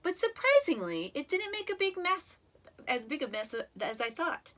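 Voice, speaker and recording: A woman reading a children's story aloud in an expressive, animated way, with dramatic emphasis and drawn-out words. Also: high-pitched. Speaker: storybook reader.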